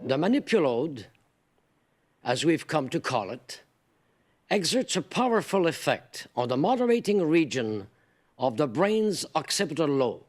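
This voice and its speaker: An actor playing a scientist who explains a technical device in a lecturing, demonstrative manner. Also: male voice, fairly high pitch, very animated, unhurried. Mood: explanatory. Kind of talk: scripted film voice-over.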